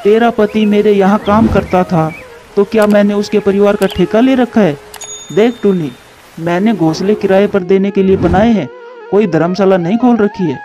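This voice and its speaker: A stingy crow landlord from a cartoon, acted by a man, stern and demanding. The voice sits high for a male and is mellow rather than sharp, with music playing behind it. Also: moderate pace, lo-fi sound, natural voice. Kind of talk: Hindi cartoon dialogue.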